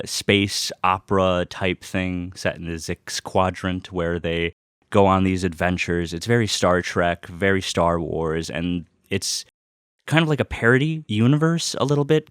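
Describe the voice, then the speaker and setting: A man's voice: sits low, smooth, dry recording, studio quality. A man hosting a personal vlog in casual, unscripted talk, rambling and often cutting himself off. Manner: rambling, self-interrupting. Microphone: close personal microphone.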